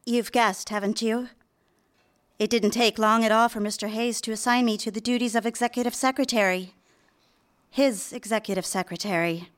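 Casting: actress for an earnest, lovestruck young office girl, higher-pitched, easily flustered, for a live radio play. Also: steady tone, moderate pace, natural voice. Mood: confiding. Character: nervous, smitten young female typist, new at the office. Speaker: actor. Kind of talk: live radio drama acting with confessional narration.

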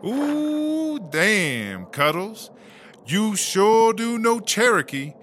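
Brief: male actor as bossy, playful woman inmate, high-pitched for a man, slow sassy drawl, noisy ambience. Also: very animated, heavily acted. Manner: slangy, drawling, exclamatory, sassy. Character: sassy, loud, bossy female prisoner Chartreuse, playful and streetwise. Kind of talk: comedy audio drama acting.

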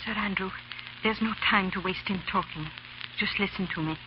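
A woman's voice: medium pitch, moderate pace, lightly acted. A woman playing an anguished, determined young noblewoman in a theatrical, urgent 1930s radio drama style. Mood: desperate, resolute. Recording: dull, faintly noisy 1930s radio recording.

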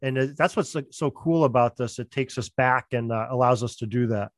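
Dry-sounding man explaining haltingly. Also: medium pitch, no room sound. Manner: halting, rephrasing as he goes. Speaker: podcast guest, audio drama producer. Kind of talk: casual explanatory chat. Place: remote call on a computer.

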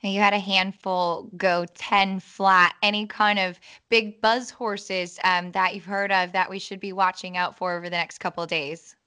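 A tired young woman hosts a casual podcast, chatty and upbeat with plenty of ums. Her voice is bright and crisp, with a smooth, clean tone. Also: medium pitch, flat delivery, moderate pace, few pauses. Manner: chatty, upbeat, with frequent fillers. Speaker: podcast host.

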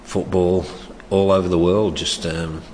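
A man's voice: low-pitched, flat delivery, unhurried. An older man, a former soccer coach, talking in an interview. He speaks hesitantly, restarting his sentences. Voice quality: loud voice. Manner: hesitant, with many restarts and chuckles. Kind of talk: reflective oral history interview. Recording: long reverb, faint background noise.

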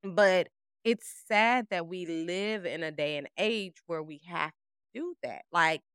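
A woman's voice: fairly low pitch, sharp tone, clear, resonant tone, no room sound, studio quality. A woman co-hosting a podcast, talking candidly about work and acting out remembered exchanges. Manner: candid, storytelling with quoted dialogue. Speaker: podcast co-host. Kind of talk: casual conversation.

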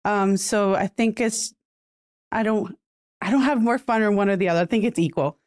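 Woman from puerto rico, spanish is her first language, mid pitch, relaxed and thoughtful podcast guest. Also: moderate pace, no echo, clean studio sound. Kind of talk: casual interview answers.

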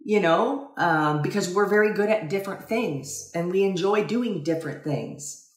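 A woman's voice: mid-range voice, moderate pace, echoey room. A woman co-hosting a casual talk, reflective and earnest, speaking in long, winding sentences.

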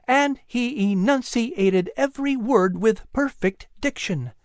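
Man putting on a high, spirited colonial wife, animated. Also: moderate pace, no room sound, studio quality. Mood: proud, teasing. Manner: emphatic, exaggerated enunciation. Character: cheerful, spirited, teasing colonial wife and hostess.

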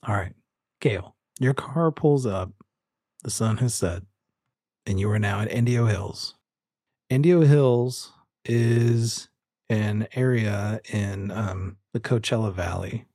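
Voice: mid-range voice, slow pace, warm tone, no room sound, clean studio sound. A game master narrating a tabletop roleplaying game informally, improvising descriptions.

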